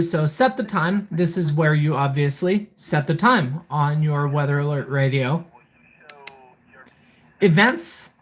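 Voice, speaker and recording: Methodical livestream gadget reviewer. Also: mid-range voice. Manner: methodical, reading items aloud then commenting. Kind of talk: casual live product walkthrough.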